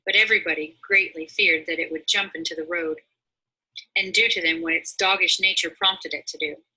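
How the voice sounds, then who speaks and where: Very bright, husky woman storyteller. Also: fairly low pitch, normal speed, rough recording. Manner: dramatic, expressive. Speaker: story reader. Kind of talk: scripted storybook narration.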